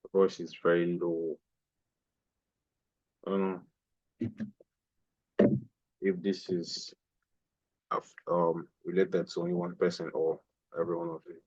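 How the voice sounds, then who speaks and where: A very low, flat-voiced man walks through a trading chart on a live online class, restating terms as he points at candles. His voice comes through a dull, lo-fi stream. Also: a little slow, long pauses, slightly rough. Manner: explanatory, repeats phrases while pointing at a chart. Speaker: trading instructor.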